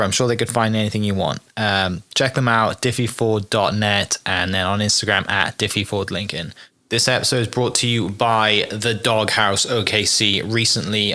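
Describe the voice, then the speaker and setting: Casting: upbeat male podcast host, lowish voice, bright and forceful, chatty. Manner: upbeat, chatty, uses fillers. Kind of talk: casual podcast hosting and sponsor reads.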